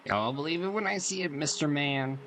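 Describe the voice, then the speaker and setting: A man's voice: a little high, lively intonation, a little fast. A man doing casual livestream game commentary, reacting with slangy, joking asides. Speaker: game streamer. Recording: game music behind the voice.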